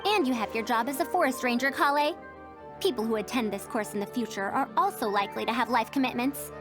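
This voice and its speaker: A woman's voice: fairly high pitch, quick pace, over music, lightly acted. A woman voicing a confident, headstrong female scholar in a video game, with formal, old-fashioned phrasing. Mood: encouraging. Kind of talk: voiced game character dialogue.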